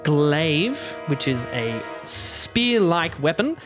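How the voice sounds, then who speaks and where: A man's voice: on the high side, sing-song, extremely slow. A man playing a tabletop game, casually talking through rules and his moves. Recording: music behind the voice. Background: background music.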